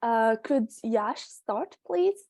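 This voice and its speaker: A woman's voice: fairly high pitch, expressive, very slow, a little mellow, dry recording, studio quality. A sixteen-year-old girl from Belgium hosting an online meeting, talking hesitantly with many fillers. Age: teenager, sixteen. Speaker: meeting host presenting slides. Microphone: video call.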